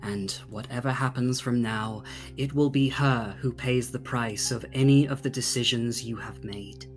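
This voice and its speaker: A man narrating a tabletop game as game master, dramatic and deliberate, with long pauses. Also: mid-range voice, steady tone, faint music behind.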